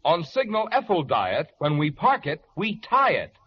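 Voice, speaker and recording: Animated old-time radio announcer, a man. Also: a little high, moderate pace, slightly rough. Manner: jovial, polished salesmanship. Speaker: sponsor announcer. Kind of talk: 1940s radio advertisement reading. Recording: dull, faintly noisy vintage radio recording.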